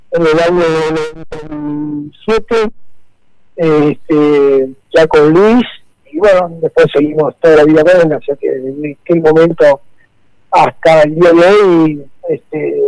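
A middle-aged man from Santa Fe speaks with an Argentine accent in a casual radio interview. His voice sits a little high, and he talks haltingly, with many fillers and repeated words. The sound is dry and close to the mic. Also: vocal fry, a little mellow, dry recording, rough recording. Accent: Argentine Spanish. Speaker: rally co-driver guest.

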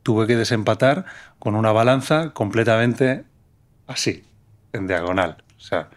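A man's voice: on the low side, measured pace. A man from Menorca hosting a conversational interview, reflective and telling a personal story at length. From Menorca, Spain.

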